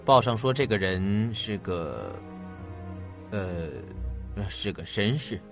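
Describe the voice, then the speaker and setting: A fatherly middle-aged man in a radio drama. His low voice moves in lively swings, measured but uneasy, with faint music behind. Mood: probing, uneasy.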